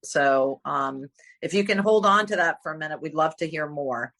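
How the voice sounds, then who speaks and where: A woman facilitating a recovery workshop over a video call, speaking gently and haltingly with many fillers. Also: deep voice. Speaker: workshop leader.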